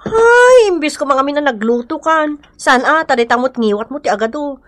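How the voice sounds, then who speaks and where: Really high bright ilocano wife, hot tempered n wailing, radio drama, bit of noise. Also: female voice, lively intonation, moderate pace. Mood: tearful, angry.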